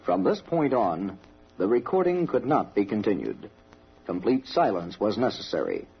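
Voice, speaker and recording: A man's voice: lowish voice, sing-song, a little hiss or hum. Solemn male radio narrator, vintage dull sound. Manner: solemn, measured. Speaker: documentary narrator. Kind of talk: scripted radio documentary narration.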